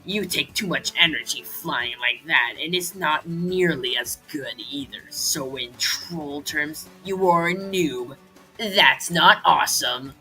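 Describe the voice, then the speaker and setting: A man's voice: on the high side, slow, bright, forceful tone, faint music behind, heavily acted, rough recording. A man voicing a cocky, boastful, foul-mouthed dragon in an amateur cartoon dub, with a brash manner. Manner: brash, bragging. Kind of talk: amateur comic dub character acting.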